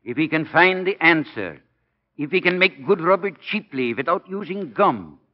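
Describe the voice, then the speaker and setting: An actor playing a gruff but fatherly priest and science professor in 1940s radio style, firm and measured. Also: fairly high pitch, lively intonation. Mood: fatherly, encouraging. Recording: muffled 1940 radio broadcast.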